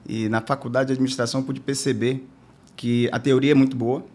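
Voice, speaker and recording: Relaxed man. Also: mid-range voice, a little fast. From Salvador, Bahia, Brazil. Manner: relaxed, storytelling, hesitant restarts. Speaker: guest, entrepreneur. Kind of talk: storytelling in podcast interview.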